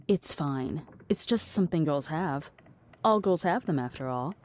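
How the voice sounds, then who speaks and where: Woman playing a deadpan, low-voiced cynic. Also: unhurried. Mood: deadpan lecturing. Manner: deadpan, wordy, dismissive. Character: cynical, blunt, philosophising woman in a trench coat. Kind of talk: audio drama acting.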